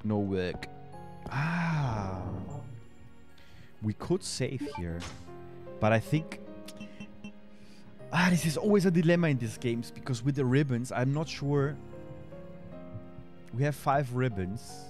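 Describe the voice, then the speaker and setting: A man's voice: mid-range voice, expressive, slow pace, long pauses, darkish tone, soft background music. A man in his thirties, a native Spanish speaker with a German accent, chatting on a headset mic while streaming a game, thinking aloud and answering chat. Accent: German-accented English. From Austria. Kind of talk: casual let's-play commentary. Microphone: headset mic at a desk.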